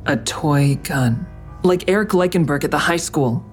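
A woman's voice: deep voice, unhurried, soft background music. A woman playing a grim, confrontational investigator in an audio drama, questioning in a terse, dry, needling way. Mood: cold, dry. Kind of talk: audio drama acting with narration.

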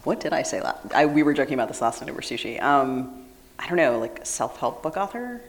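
A woman's voice: very deep voice, big pitch swings, fast talker, slight background noise. A woman co-hosting a live podcast panel, speaking casually with many fillers and restarts. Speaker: podcast co-host. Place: live event stage.

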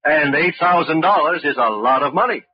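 An American man delivering a polished, persuasive, scripted sponsor pitch as a 1940s radio announcer. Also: expressive, brisk, slightly rough, dry recording. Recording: muffled old radio broadcast.

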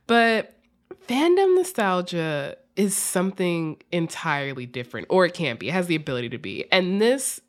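Woman, podcast host, low pitch, conversational, informal, wry. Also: sing-song, moderate pace. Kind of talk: casual conversational interview.

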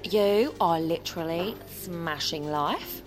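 A British woman playing a chatty, bossy hairdresser in an audio comedy drama, gushing, familiar and brisk. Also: fairly low pitch, soft background music.

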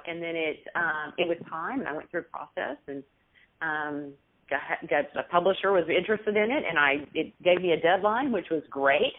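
A middle-aged Texan woman speaks as an interview guest in a friendly, unhurried way. Her voice sits fairly low and comes through a thin, tinny phone line. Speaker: author and business owner, guest. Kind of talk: casual interview over the phone.

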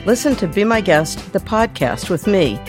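A woman's voice: mid-range voice, very animated, moderate pace. A woman reading a scripted podcast promo in an inviting way. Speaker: podcast host in an ad. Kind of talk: scripted ad read. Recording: clear guitar music behind. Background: guitar music.

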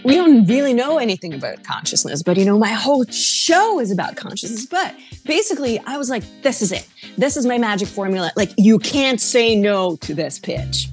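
A woman's voice: fairly high pitch, sing-song, fairly quick, faint music behind. A middle-aged woman from Slovenia with a Slovenian accent, speaking conversationally as an interview guest. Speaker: interview guest, actor and playwright.

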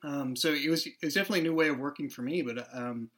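A man's voice: fairly high pitch, rapid-fire, fairly bright tone. A man, the podcast's creator and writer, speaking in a casual interview with dry humor and earnest reflection.

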